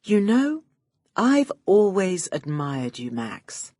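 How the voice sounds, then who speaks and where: A woman playing a sly female detective in an audiobook, feigning sweet helplessness in a coaxing, drawn-out British delivery. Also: mid-range voice, very animated, dark tone, no echo, clean studio sound. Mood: sugary flattery.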